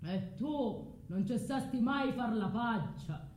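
An actress voicing a self-righteous, faithless husband in a radio play, wheedling and pompous, in speech mixed with Spanish words. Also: female voice, on the high side, animated, slow, a little mellow, echoey room, slight background noise. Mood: reproachful. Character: Jason, smooth-talking self-righteous faithless husband, mimicked by his wife. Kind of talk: theatrical radio drama monologue.

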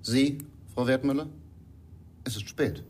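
A man's voice: medium pitch, measured pace, a little mellow, lightly acted. An actor in a radio crime drama playing an uneasy village father, curt, guarded and dismissive.

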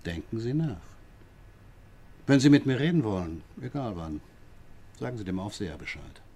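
An actor playing a sly, patronising police inspector who questions a prisoner with drawn-out, needling questions in a radio drama. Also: male voice, lowish voice, big pitch swings, dark tone. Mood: pressing.

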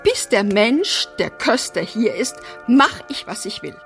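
An actress playing a brash, eccentric apartment resident in a radio drama, theatrical, flippant and defiant. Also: female voice, a little high, very animated, a little slow, vocal fry, faint music behind, full character voice. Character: brash, eccentric, bossy female apartment resident opening others' letters. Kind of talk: German radio drama acting.

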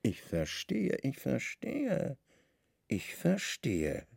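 A slow, gravelly, commanding Roman governor. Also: male voice, mid-range voice, huge pitch swings, a little mellow, dry recording, clean studio sound, full character voice. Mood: slyly insinuating. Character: stern, commanding Roman governor, slyly plotting, middle-aged man. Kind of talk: radio play dialogue.

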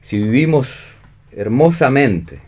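An actor in a radio play voicing a wounded, disillusioned vagabond lover, brooding and dramatic, with an Argentine accent. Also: male voice, medium pitch, lively intonation, slow, a little mellow. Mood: bitter, resigned. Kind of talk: read radio theatre.

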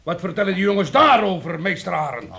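An actor playing a level-headed, cautious carpenters' guild master in a radio play, speaking in a deliberate, admonishing way. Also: male voice, high voice, expressive, creaky, crowd noise. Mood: firm, cautioning.

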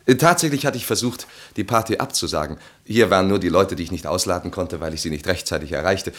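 An actor in a German radio play playing an awkward, polite husband hosting a party, speaking hesitantly and evasively. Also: male voice, deep voice, quick pace, a bit bright. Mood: apologetic, troubled.

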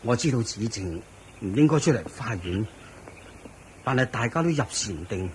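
An actor playing a sickly, anxious monk disciple in a Cantonese radio drama, speaking deferentially and earnestly. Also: male voice, mid-range voice, lively intonation, moderate pace, a little mellow, a little hiss or hum, lightly acted. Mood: apologetic, troubled. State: sickly, prone to coughing.

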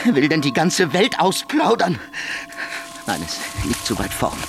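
An actor playing a haunted, frantic murderer in a German radio drama, halting and repeating himself, then breaking into defiance. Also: male voice, fairly high pitch, wildly swooping pitch, heavily acted. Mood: haunted, guilty. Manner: halting muttering broken by outbursts, repeats words. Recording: music behind the voice.

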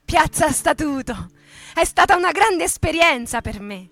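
A woman playing an earnest ageing male metalworker who recalls his militant youth in a halting, conversational, emphatic way, as a radio theatre monologue. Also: high-pitched, sing-song, creaky, fairly bright tone, no room sound, exaggerated voice. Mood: reflective, insistent.

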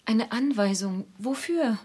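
An actress playing a naive, eager young art student in love in a radio drama, hesitantly searching for words. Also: female voice, a little high, lively intonation, takes it slowly, dark tone, slightly rough, heavily acted. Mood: searching, puzzled.

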